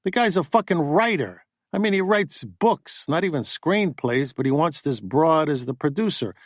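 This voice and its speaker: Guy doing a loud pushy agent, swears a lot, talks fast and high, dry sound. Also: expressive, no echo. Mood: brash. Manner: pushy, profane, rapid-fire. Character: brash fast-talking male Hollywood agent.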